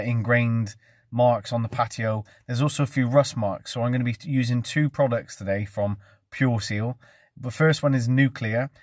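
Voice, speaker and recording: A relaxed English man in his thirties talks through his work like a friendly vlogger, easygoing and chatty with plenty of ums. He sits in a parked van, filming himself on a phone at arm's length. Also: medium pitch, dry recording, clean studio sound. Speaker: window cleaner vlogger. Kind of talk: casual how-to vlog. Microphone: phone camera at arm's length.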